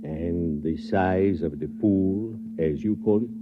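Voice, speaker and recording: Deep-voiced, coolly confident scientist doctor. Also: male voice, over music. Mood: measured, leading. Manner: formal, measured, deliberate, courteous. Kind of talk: mid-century radio drama acting. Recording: old radio broadcast, dull band-limited sound.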